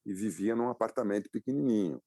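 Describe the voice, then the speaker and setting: A man in his fifties from Brazil with a very low, gravelly voice and a dark, mellow tone. He recounts his story in a reflective way, pausing on fillers and restarting his sentences. The recording is dry and close. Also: dry recording. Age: 50s. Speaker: guest, publisher and adventurer. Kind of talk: casual interview storytelling.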